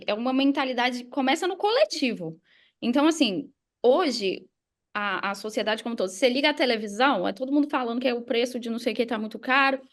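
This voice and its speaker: A woman with a Brazilian accent explaining things casually as a podcast guest, emphatically posing questions and answering them herself. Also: sits high. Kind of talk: casual explanatory interview talk.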